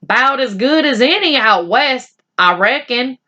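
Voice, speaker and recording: Woman putting on a high-pitched folksy little cowboy. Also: expressive, unhurried, sharp tone, lo-fi sound, exaggerated voice. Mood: folksy, boastful. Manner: drawling folksy frontier talk with dropped endings. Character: tiny male Old West cowboy, folksy, whining, boastful.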